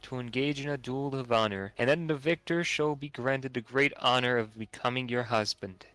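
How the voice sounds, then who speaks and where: A man playing an earnest, bright-voiced noble knight. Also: medium pitch, moderate pace, no echo, lightly acted, rough recording. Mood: solemn courtly. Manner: formal, archaic, declamatory stage diction. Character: earnest noble young knight duelist in a stage play. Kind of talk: theatrical dramatic voice acting over a game.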